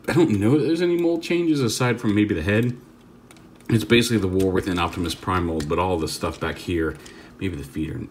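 A man's voice: fairly low pitch, slight background noise. A man giving a casual hands-on toy review, rambling and stopping to correct himself as he handles the figure. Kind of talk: casual hands-on product demo.

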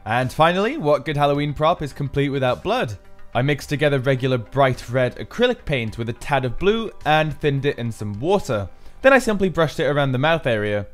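A man's voice: medium pitch, sing-song, a little fast, soft background music. A man giving an upbeat, explanatory voice-over for a build tutorial, as the maker himself. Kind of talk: scripted tutorial narration.

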